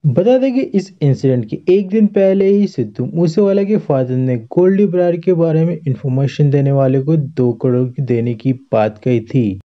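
An Indian man hosts a news roundup in a fairly high, very animated voice. He reads from a script and mixes in English phrases. The tone is mellow and the recording a little dull. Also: normal speed, few pauses. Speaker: host of a YouTube news roundup.

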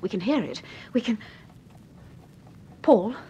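High, animated British detective's wife. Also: female voice, takes it slowly. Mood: puzzled. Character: detective's wife, affectionate, teasing, inquisitive. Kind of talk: old British radio drama acting. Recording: faint noise, old radio recording.

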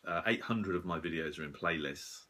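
A man in his forties giving a casual, modest, hesitant update to camera in a small room, sounding slightly rough and husky after a late night. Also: deep voice, normal speed, sharp tone. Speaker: shortwave radio hobbyist and video host.

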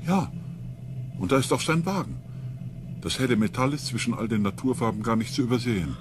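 An actor playing a skeptical, matter-of-fact expedition member in a sci-fi radio play. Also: male voice, medium pitch, lively intonation, brisk, noisy background, close to an everyday voice.

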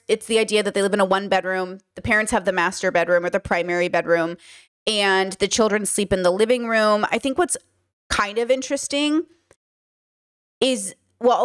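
Young female commentator, conversational and musing, mid-pitched, close dry studio mic. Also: dry recording. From Southern California. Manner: chatty, thinks aloud, gestures a lot. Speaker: commentary YouTuber. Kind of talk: casual reaction commentary. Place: small bedroom studio. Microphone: studio mic on boom arm.

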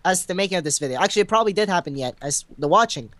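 A man's voice: high voice, animated, very fast, a bit bright, dry recording. A young man co-hosting a gaming video, bantering casually in a crude, teasing, rambling way with some stammering. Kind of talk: casual joking gameplay banter.

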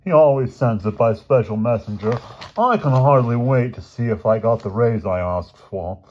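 A man's voice: medium pitch, normal speed, dull audio, slight background noise, pitched above the performer's own voice, close to an everyday voice. A man voicing a prim, self-important rich schoolboy with an affected, aggrieved manner in a children's audiobook. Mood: smug anticipation. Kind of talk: children's novel audiobook character voice.

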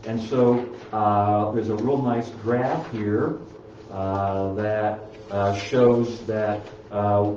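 A man's voice: mid-range voice, a little mellow, slight background noise. A middle-aged man hosting a health talk in a small office, speaking casually from printouts with many fillers and restarts. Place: small office room, indoors. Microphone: far camera. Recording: roomy, distant, dull sound.

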